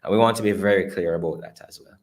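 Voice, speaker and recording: A man's voice: low-pitched, steady tone, fast talker. A man with a Jamaican accent speaking as a company executive on an earnings call, conversational, often repeating and rephrasing his words. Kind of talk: earnings call presentation and answers.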